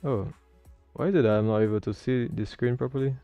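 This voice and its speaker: A man's voice: medium pitch, quick pace, warm tone, no echo, dull audio, soft background music. A man hosting a livestream, talking casually as he comments and explains as a learner. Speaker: livestream host learning air traffic control.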